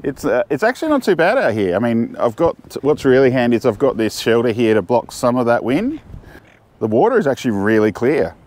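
A man's voice: mid-range voice, big pitch swings, fairly quick, darkish tone. A middle-aged Australian man chatting casually to camera while fishing from a jetty, thinking aloud and restarting his sentences. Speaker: fishing vlogger. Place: outdoors on a windy jetty.

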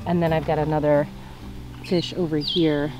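A woman's voice: low voice, level tone, normal speed, music in the background, low-quality recording. A young woman casually walking through her backyard vegetable garden outdoors, chatting as she points out plants to a handheld camera. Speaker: gardening vlogger.

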